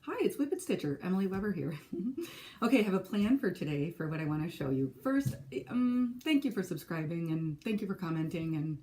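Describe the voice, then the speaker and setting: A woman's voice: on the low side, big pitch swings, brisk, dark tone, a little hiss or hum. A woman in her forties with a Minnesotan accent, a teacher chatting casually in a rambling, self-correcting way for her vlog. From California. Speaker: second grade teacher and stitching vlogger.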